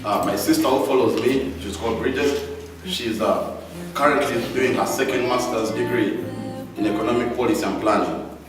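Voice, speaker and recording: A man's voice: medium pitch, moderate pace. A young man from Uganda giving a heartfelt speech of thanks to an audience in a large hall. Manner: warm and grateful, addressing the crowd directly. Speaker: speaker thanking supporters at an event. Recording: echoey hall, distant mic, loud music.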